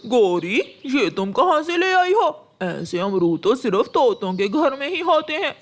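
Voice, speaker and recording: A performer voicing an admiring male crow husband in a cartoon, with drawn-out, emphatic delivery. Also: high voice, very animated, heavily acted. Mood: surprised. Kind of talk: Hindi cartoon moral story acting.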